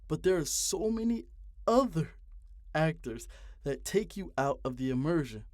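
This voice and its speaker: A man's voice: a little high, sing-song, measured pace, darkish tone, dry recording. Twenty-something guy ranting his video essay, cussing a lot, very dry close sound. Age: 20s. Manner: exasperated, profane, emphatic.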